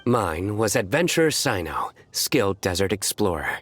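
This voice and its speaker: Serious deadpan desert adventurer from a game, lowish voice, few words. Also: male voice, normal speed, noisy background. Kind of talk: video game voice acting.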